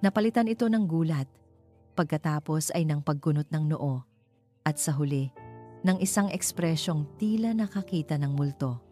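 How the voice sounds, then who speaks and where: A woman's voice: low-pitched, expressive, moderate pace, dark tone. A woman narrating a dramatic scripted story. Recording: music behind the voice.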